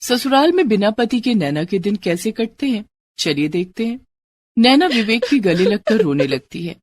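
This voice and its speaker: A woman's voice: mid-range voice, very animated, moderate pace, no echo. A woman narrator reading a scripted moral story in a storytelling style.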